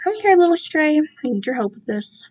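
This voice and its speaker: A woman's voice: high voice, very animated, moderate pace, a little mellow, voice pushed up. A woman voicing a sadistic, controlling male captor in a dramatic fan fiction reading, with condescending sing-song sweetness. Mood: controlled anger.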